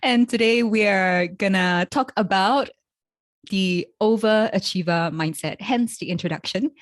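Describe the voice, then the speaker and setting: A woman's voice: medium pitch, measured pace, no echo, studio quality. A woman hosting a podcast, upbeat and welcoming as she introduces the episode and her guest. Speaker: podcast host.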